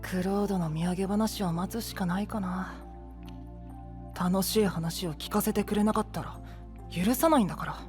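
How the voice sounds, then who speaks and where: A man's voice: high-pitched, normal speed, a little mellow, faint music behind, natural voice. A man playing a sweet, slightly pouty young boy knight in an anime-style voice drama, with childlike, sulky-sweet speech. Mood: sulky, disappointed.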